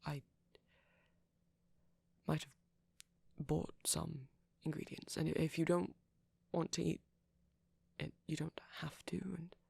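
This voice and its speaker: A performer playing a shy, caring vampire boyfriend in an intimate ASMR roleplay, speaking softly and breathily with hesitant pauses. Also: medium pitch, little pitch movement, no echo, full character voice. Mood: tender, hesitant. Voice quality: breathy, often near whisper. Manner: soft-spoken, breathy, halting with gentle pauses.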